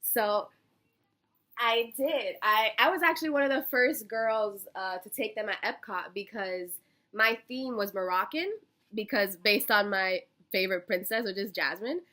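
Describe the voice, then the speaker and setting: Young American woman, early twenties, bright forward voice, effusive and chatty, as an eager interview candidate. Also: medium pitch, unhurried. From Miami, Florida, United States. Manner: bubbly, effusive, giggly, chatty. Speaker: job interview candidate, college student. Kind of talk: casual phone interview answers.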